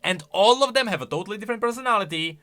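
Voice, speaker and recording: A man giving unscripted reaction commentary on game voice lines in an animated, exclamatory way. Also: high-pitched, very fast. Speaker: YouTube commentator.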